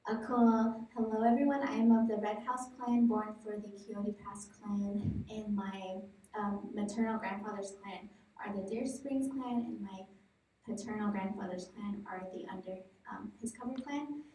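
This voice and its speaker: A woman's voice: mid-range voice, steady tone, normal speed, dark tone, a little hiss or hum. Distant woman introducing herself in an echoing room. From Navajo Nation, Arizona, United States. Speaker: college arts program coordinator, community representative. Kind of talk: spoken self-introduction at a presentation. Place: large echoey indoor presentation room. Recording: very echoey room, distant mic.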